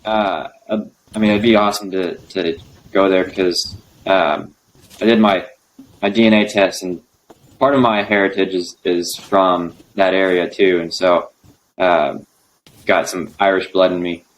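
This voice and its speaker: A young man speaking as a podcast guest, relaxed and hesitant, with many ums. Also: deep voice, unhurried, a little hiss or hum, low-quality recording. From United States. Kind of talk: casual interview conversation.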